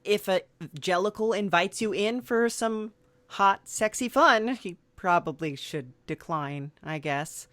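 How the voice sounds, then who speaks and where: Woman host hemming and hawing thru a silly interview, slow talker, dry close mic. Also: mid-range voice, a bit bright, dry recording. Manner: hesitant, many fillers, playing along with mock seriousness. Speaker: podcast host. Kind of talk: improvised comedy interview.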